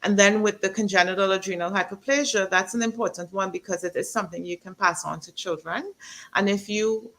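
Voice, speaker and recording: A woman from Trinidad, a doctor, answering health questions in an interview with a measured, explanatory manner. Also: mid-range voice, fairly even intonation, fairly bright tone. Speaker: doctor guest.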